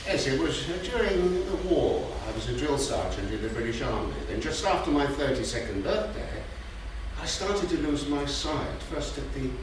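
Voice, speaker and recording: An actor playing a gentle blind grandfather and former drill sergeant in a staged reading, affectionate and unhurried. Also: medium pitch, sing-song, natural voice. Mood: reminiscing. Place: theatre stage. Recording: echoey theatre from far off, faint noise.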